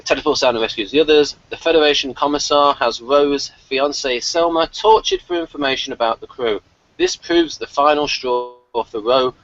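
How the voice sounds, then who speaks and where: A man's voice: mid-range voice, fairly bright tone, dry recording, lo-fi sound. A man hosting a review discussion, recapping and chatting casually, hesitant and often correcting himself. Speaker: podcast host. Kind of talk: episode synopsis and casual review chat.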